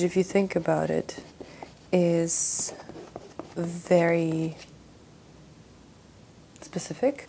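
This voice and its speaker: A young woman from Russia talks through her late-night painting session, tired after a long shift. Her voice sits low and gravelly, and she wanders through her thoughts with restarts and fillers. A faint hum of room noise hangs behind her. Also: mellow. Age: young adult. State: exhausted after a work shift. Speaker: art vlogger. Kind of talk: casual late-night talk while painting.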